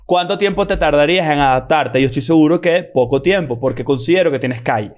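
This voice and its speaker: A man's voice: a little high, fast. A man in his thirties with a heavy Caracas Venezuelan accent, joking bluntly as a podcast co-host. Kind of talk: casual chat. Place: small studio room. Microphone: studio mic on boom arm.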